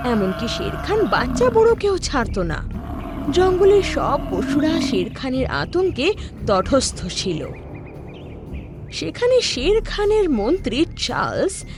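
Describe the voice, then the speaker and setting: A woman narrating a scripted children's cartoon story. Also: high-pitched. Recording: background music under the voice.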